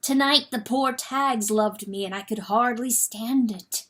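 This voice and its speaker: A woman's voice: fairly high pitch, a little slow, fairly bright tone. A woman voicing an anguished, guilt-ridden male rooster in a dramatic animal fantasy audiobook, wailing and choked with self-loathing. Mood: weak, ashamed. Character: anguished guilt-wracked male rooster, once a proud lord.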